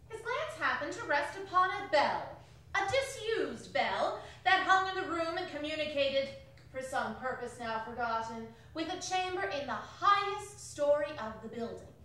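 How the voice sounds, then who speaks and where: A woman narrating a story on stage, theatrically drawing out her words and swelling from hushed to loud. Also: sits high. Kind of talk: dramatic scripted storytelling on stage. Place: theatre hall at a live reading. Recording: very echoey hall, distant mic, some noise.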